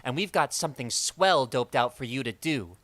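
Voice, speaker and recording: Man playing an animated, eager teenage schoolboy. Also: medium pitch, moderate pace, no echo, lightly acted. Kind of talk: old-time radio comedy acting.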